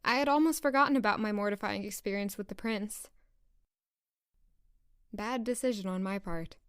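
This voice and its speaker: A woman's voice: medium pitch, normal speed, clear, resonant tone, no room sound, lightly acted. An actress playing a bashful, wry young working woman in an audio drama. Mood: wry, reflective. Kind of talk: audio drama acting and narration.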